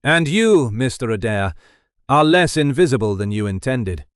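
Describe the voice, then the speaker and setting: A man voicing a composed, commanding gentleman detective who speaks in precise, formal sentences in an audiobook story. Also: medium pitch, big pitch swings, moderate pace, dry recording, clean studio sound, lightly acted. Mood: dry, defiant.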